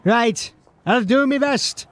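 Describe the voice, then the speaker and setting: A man's voice: very high voice, slow pace. A man playing a furtive, loyal streetwise informant in a fantasy audio drama, talking in a colloquial, conspiratorial way. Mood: dutiful.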